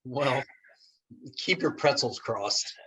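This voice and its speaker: A man playing a husky, awkward, exasperated guy. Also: mid-range voice, expressive, slow, bright, forceful tone, heavily acted, rough recording. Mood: dry, hesitant. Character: awkward, exasperated, lovesick man, easily irritated. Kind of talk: comedy play table reading. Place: remote reading over a video call.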